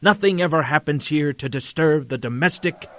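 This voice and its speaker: A man playing a portentous narrator, delivering scripted audio drama scene-setting in deliberate phrases. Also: a little high, expressive, noisy background, lightly acted. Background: music.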